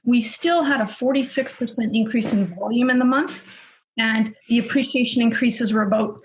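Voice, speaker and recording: A woman from Montreal, a real estate investor, talking in a measured, explanatory way over a video call. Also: on the high side, a little hiss or hum, rough recording. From Montreal, Canada. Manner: measured, explanatory, figures-heavy. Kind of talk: video call panel talk.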